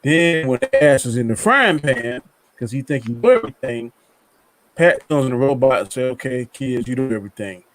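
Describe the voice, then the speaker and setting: A man with a medium-pitched voice chats as a panelist on a review show. His talk is colloquial and loose, and he tells stories by acting out what characters say. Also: sing-song, moderate pace, a little hiss or hum. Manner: colloquial, acts out characters' lines. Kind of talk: casual storytelling chat.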